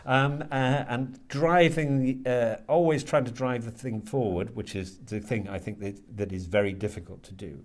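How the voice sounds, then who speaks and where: A man giving reflective interview answers as a university lecturer, halting and repeating words as he thoughtfully works toward his point. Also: mid-range voice. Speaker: university creative writing lecturer being interviewed.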